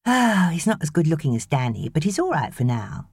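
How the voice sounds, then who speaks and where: A woman's voice: sits low, sing-song, fairly quick, darkish tone, slightly rough, dry recording, clean studio sound, voice pushed up, full character voice. A British woman voicing a chirpy, slangy sixteen-year-old babysitter girl in an audiobook. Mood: breezy, boastful.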